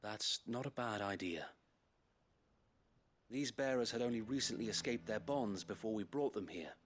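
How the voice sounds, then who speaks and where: An actor playing a dutiful, courteous but burdened guard captain in a fantasy video game, formal and articulate with an English accent. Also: male voice, lowish voice, normal speed, natural voice. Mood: thoughtful, regretful. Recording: music behind the voice.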